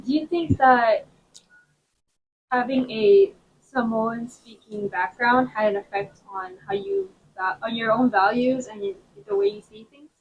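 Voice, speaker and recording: A woman interviewer asking questions in a casual, slightly hesitant, halting way. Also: on the high side, animated, husky. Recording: dull lo-fi sound with faint noise.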